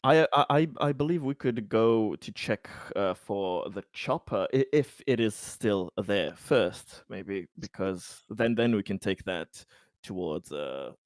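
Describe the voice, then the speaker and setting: A man's voice: on the low side, animated, slow, no echo, studio quality. A man playing a tabletop game, chatting casually and often searching for his words. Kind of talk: casual chat during a roleplaying game.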